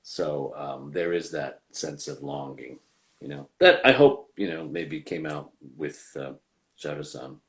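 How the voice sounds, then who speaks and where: A man, a voice actor guest, talking casually with frequent pauses, fillers and restarts. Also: extremely low pitch, lively intonation, creaky. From United States. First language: English. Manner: hesitant, many fillers and restarts. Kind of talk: casual conversational interview.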